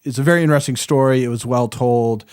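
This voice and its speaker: A man's voice: mid-range voice, fast talker, darkish tone, clear, resonant tone, no echo, clean studio sound. A man chatting casually as a podcast panelist, loosely hedging as he recommends things. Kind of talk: casual review chat.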